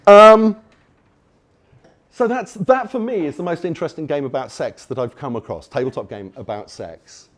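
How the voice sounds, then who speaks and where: Guy doing a conference talk, keen and wry, trips over his words a lot. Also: mid-range voice. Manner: enthusiastic, wry, rambling with restarts. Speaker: conference speaker. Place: conference venue before an audience.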